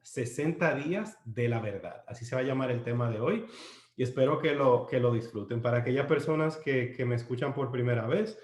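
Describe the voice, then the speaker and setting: A man's voice: medium pitch, fairly quick, a little mellow. A Dominican man raised in the United States, with a Dominican Spanish accent shaped by English, leads a live-streamed Bible study from his home. He speaks unhurriedly and hesitantly, with many "eh" fillers and restarts, and ends points with a questioning "¿no?". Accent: Dominican Spanish with US English influence. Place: at home near the kitchen. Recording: roomy home kitchen echo.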